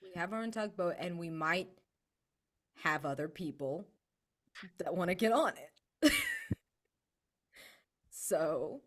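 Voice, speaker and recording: A woman's voice: on the low side, expressive, slow, long pauses. A woman brainstorming casually as a player in a tabletop roleplaying game, informal and enthusiastic.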